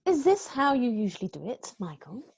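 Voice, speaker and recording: A woman playing a brisk, unflappable, firm yet playful nanny, crisp and commanding, in a musical play read-through. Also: on the high side, sing-song, darkish tone, muffled recording, exaggerated voice. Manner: crisp, commanding, matter-of-fact.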